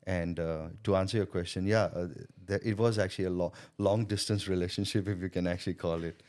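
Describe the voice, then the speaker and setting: Middle aged guy from thailand, really deep voice, chatty and thoughtful, lots of "you know". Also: fairly even intonation, fairly quick, darkish tone. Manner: reflective, checks in with "you know" and "right?". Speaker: podcast guest, sales consultant. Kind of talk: casual interview storytelling.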